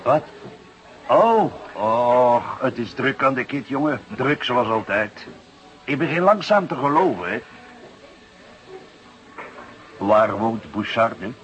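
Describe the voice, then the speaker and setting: An actor playing a genial, shrewd middle-aged Dutch police inspector in a radio drama, with drawn-out interjections and an avuncular way of talking. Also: male voice, medium pitch, animated, a bit bright, dull audio. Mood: chatty, probing. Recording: noisy, dated radio play recording.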